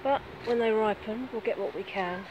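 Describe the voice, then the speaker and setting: A middle-aged woman talks casually while she walks outside, pointing things out as she goes. She speaks into a phone held close to her face. Clear outdoor hiss and wind sit under her voice. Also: medium pitch, moderate pace, dull audio. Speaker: vlogger. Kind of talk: casual walk-and-talk vlog. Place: outdoors on a grassy field by bushes. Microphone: phone camera held close.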